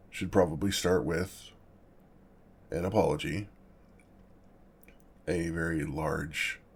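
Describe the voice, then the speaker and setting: A man playing an awkward, apologetic, gentle male dragon in an ASMR roleplay, with a gravelly, husky voice and a halting, flustered manner. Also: sits low, exaggerated voice. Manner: halting, flustered, self-correcting, measured.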